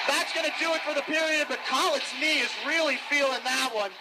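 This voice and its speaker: A man calling live ice hockey play-by-play on the radio with urgent, excitable delivery. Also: very high-pitched, a little fast. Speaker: play-by-play announcer. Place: ice hockey rink broadcast position. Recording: noisy arena radio broadcast.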